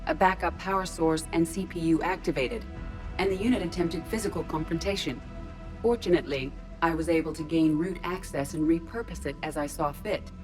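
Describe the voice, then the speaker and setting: A woman's voice: sits low, a little fast, darkish tone, soft background music, natural voice. A woman voicing a ship's artificial intelligence in a robot body for a video game, formal, precise and emotionless. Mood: calm, analytical. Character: female ship artificial intelligence in a robot body, coolly logical.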